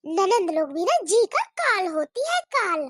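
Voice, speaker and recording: A woman's voice: very high voice, sing-song, a little slow, a bit bright, no echo, studio quality, exaggerated voice. Woman playing a sly gossiping sister-in-law. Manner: muttering asides.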